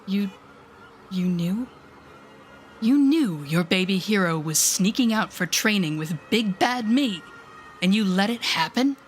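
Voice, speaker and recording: A woman acting a slow-spoken, sardonic telepathic villainess. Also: mid-range voice, animated, slight background noise, close to an everyday voice. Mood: bitter, accusing. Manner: sardonic, deliberate, shifting to soothing whispers. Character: telepathic female supervillain, bitter yet tenderly protective mentor. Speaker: voice actor in audio roleplay. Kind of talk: dramatic audio roleplay acting.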